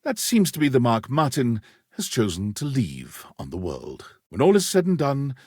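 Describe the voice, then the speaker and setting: A man playing a deadpan storybook narrator in a comic romance game, reading scripted narration in a measured way. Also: mid-range voice, husky, no echo, clean studio sound. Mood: deadpan, dramatic.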